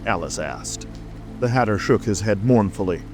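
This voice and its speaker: A deep male voice narrates a storybook tale. Music plays clearly underneath the scripted reading. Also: lively intonation, moderate pace, a little mellow. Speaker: audiobook narrator.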